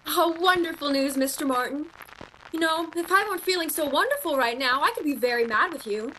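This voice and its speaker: A woman acts out a young romantic shop clerk in a radio play, her voice high. She is earnest, proud and a touch haughty, with faint noise behind her. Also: a little fast, bright, forceful tone, rough recording. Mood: delighted.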